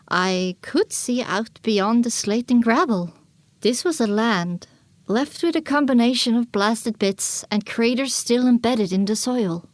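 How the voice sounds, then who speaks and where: A woman's voice: fairly high pitch, dry recording. A woman narrating a dramatic audiobook in the first person as a young filly pony, reflective and scripted. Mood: reflective, somber. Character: young filly pony recounting her wasteland journey.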